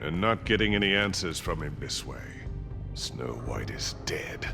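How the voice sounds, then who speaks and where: An actor playing a haughty, menacing, hot-tempered aristocrat villain in a video game, imperious and contemptuous. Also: male voice, rumbling low, lively intonation, a little slow, vocal fry, sharp tone, faint music behind, exaggerated voice. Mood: angry, impatient.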